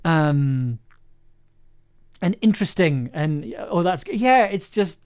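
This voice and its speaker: Higher-voiced man, halting livestream chat. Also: lively intonation, a little mellow, smooth. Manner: halting, rambling, with repeated words and trailing thoughts. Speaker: audio drama maker livestreaming an editing session. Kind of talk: casual livestream chat while working.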